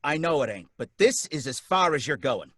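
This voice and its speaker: A man's voice: fairly high pitch, very animated, moderate pace, a bit bright, husky, no echo, heavily acted. An actor playing a wary, working-class male taxi driver who talks in folksy slang and grows suspicious of his passenger. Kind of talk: live radio drama reading.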